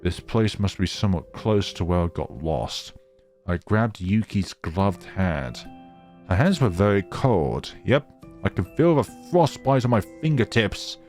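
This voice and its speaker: Low-pitched, animated man over music. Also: measured pace. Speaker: gaming video narrator. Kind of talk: visual novel read-aloud and commentary. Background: background music.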